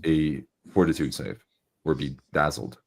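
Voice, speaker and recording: A man's voice: extremely low pitch, a little slow, creaky, slightly rough. A man playing a tabletop roleplay game, describing his character's actions in the first person.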